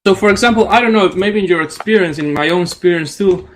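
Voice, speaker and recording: A man's voice: high voice, fast talker. A man in his twenties with an Ecuadorian accent, a native Spanish speaker, talking casually as a radio guest. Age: 20s. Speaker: psychology professional guest. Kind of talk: casual radio discussion in non-native English.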